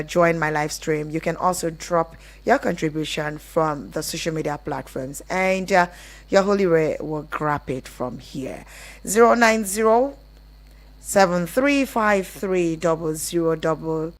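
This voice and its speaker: A woman with a Nigerian accent hosting a live relationship call-in show on radio. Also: lowish voice.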